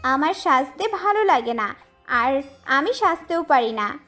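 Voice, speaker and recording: Woman acting cute pouty young woman, very high pitch, animated, childlike, stubborn, timid, audio romance drama. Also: a little slow, faint music behind, full character voice. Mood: stubborn refusal. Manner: childlike, sulky, protesting.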